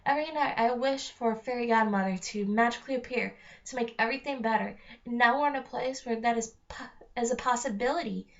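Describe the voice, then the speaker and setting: An eager young storybook girl, read out by a woman, high-pitched, earnest and stubbornly dreamy. She comes through on a dull, lo-fi recording. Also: quick pace, lightly acted. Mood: earnest, insistent. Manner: earnest, insistent.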